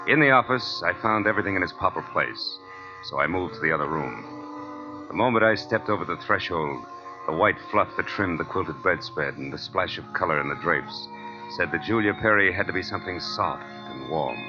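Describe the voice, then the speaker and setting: Hardboiled male private eye, American, lowish voice, wry and world-weary, muffled vintage radio with music. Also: lively intonation, moderate pace. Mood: observant. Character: cool, hardboiled male private detective narrating his case. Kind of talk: 1940s radio noir acting and narration.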